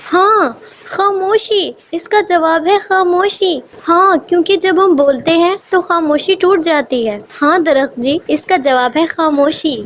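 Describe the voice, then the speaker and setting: A woman's voice: extremely high pitch, unhurried, a bit bright, dull audio. A woman voicing a sweet, kind-hearted little bird in a children's cartoon, earnest and polite. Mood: thoughtful, eager. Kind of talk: Hindi children's cartoon dubbing.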